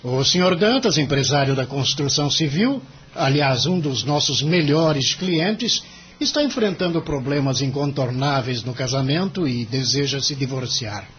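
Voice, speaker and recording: A man's voice: mid-range voice, animated, normal speed, fairly bright tone, lo-fi sound, natural voice. An actor playing a stern, impatient senior lawyer in an audio drama, formal, curt and condescending with his junior. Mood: businesslike. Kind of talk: radio drama acting.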